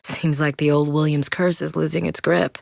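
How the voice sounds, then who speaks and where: An actress voicing a tough, blunt young female soldier in a video game, plainspoken and loyal, shouting short orders in combat. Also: sits low, flat delivery, moderate pace, vocal fry, dry recording, clean studio sound. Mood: candid. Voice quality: plainspoken and direct. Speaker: squad soldier. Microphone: close studio mic.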